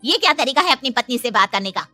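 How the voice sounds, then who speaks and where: Protective middle-aged mother-in-law, cartoon tale, woman performer: bright, forceful, slightly high, motherly but firm. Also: sing-song, quick pace, faint music behind, heavily acted. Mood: indignant, scolding. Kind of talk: dramatized cartoon moral story.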